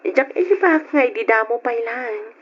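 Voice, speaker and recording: An actress playing a coy, tender young sweetheart in an emotional old radio drama. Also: female voice, fairly high pitch, expressive, moderate pace, natural voice. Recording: noisy, dull old radio recording.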